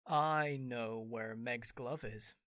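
A woman's voice: very deep voice, takes it slowly, fairly bright tone, no room sound, heavily acted. A woman playing a cheerful, teasing young man in an audio drama, speaking in a boyish, wheedling manner. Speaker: voice actor in an amateur audio drama. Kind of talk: theatrical audio drama acting.

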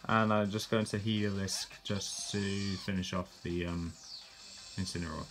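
A man giving a casual, relaxed play-by-play of his own game battle, correcting himself as he goes. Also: low-pitched, moderate pace, faint music behind. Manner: relaxed, plain narration with fillers and self-corrections. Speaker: gameplay commentator.

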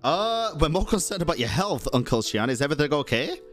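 A man in his thirties commenting on a game as a streamer, excitable and jokey. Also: on the high side, brisk, soft background music. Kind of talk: gameplay commentary. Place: home streaming room.

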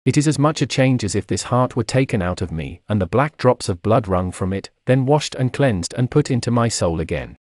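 Man, scripted sermon narration, lively swooping intonation, oratorical, emphatic delivery, dry studio-like sound. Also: medium pitch, brisk, hardly any pauses, no echo. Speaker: narrator reading a historical sermon.

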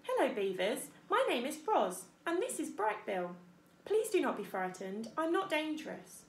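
A woman reader puts on a polite female robot from a storybook, high-pitched and gentle. The wording is formal and careful, earnest throughout, with a roomy echo. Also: big pitch swings, moderate pace, a little hiss or hum. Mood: polite, reassuring.

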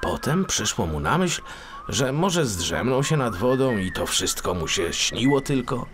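A man's voice: medium pitch, sing-song, unhurried, noisy background. A man narrating a scripted children's story expressively, as a storyteller.

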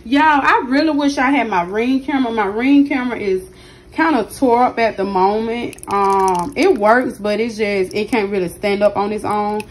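A woman with a hoarse, partly lost voice, chatting casually to her viewers as she cooks in her home kitchen, recording on a handheld phone. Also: on the high side, normal speed. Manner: chatty, keeps addressing viewers directly. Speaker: cooking channel host. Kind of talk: casual cooking vlog narration. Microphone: phone held in hand while cooking.

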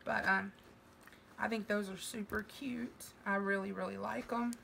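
A woman's voice: mid-range voice, steady tone, a little slow, vocal fry, a little hiss or hum. Middle-aged woman, fading voice. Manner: gushing, warm thanks with fillers. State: losing her voice from a sinus infection. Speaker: vlogger. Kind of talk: casual mail-opening vlog. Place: living room at home.

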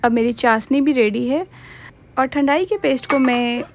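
A woman's voice: high voice, moderate pace, slight background noise. A young woman casually narrating her home cooking in a voice-over, chatty and mixing in English words. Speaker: cooking vlogger.

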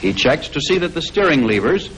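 An American man reading scripted 1940s instructional film narration in a formal, measured way, on an old film soundtrack. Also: fairly high pitch, big pitch swings, fairly bright tone, slight background noise, poor audio.

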